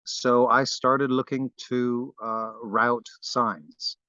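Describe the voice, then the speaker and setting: A man from Barbados, a guest wood carver, talking in a relaxed interview with frequent fillers and restarts. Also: mid-range voice, very slow, no room sound, highs cut off.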